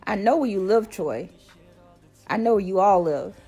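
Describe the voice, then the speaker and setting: A woman's voice: medium pitch, lively intonation, normal speed, dark tone, breathy edge, faint music behind. A woman playing an insistent, menacing character who will not be dismissed, in an audio drama. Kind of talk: modern audio drama acting.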